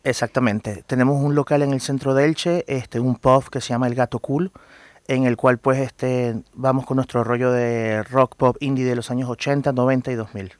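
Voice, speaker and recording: A man speaks as a guest in a radio interview. He explains earnestly, often pausing on fillers, and his voice sits at a middle pitch. The sound is dry and close. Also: steady tone, no echo. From Venezuela. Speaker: guest entrepreneur.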